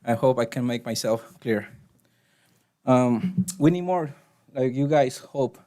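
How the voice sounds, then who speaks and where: A man with a Spanish accent, a native Spanish speaker, speaking earnestly and haltingly during public comment at a city council meeting. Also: mid-range voice, warm tone. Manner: earnest, halting, with frequent restarts. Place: council chamber.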